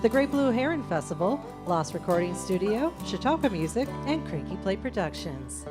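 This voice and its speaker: A woman's voice: mid-range voice, sing-song, normal speed, over loud music. Lilting female announcer reading credits. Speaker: radio announcer. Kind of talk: reading funding and sponsor credits.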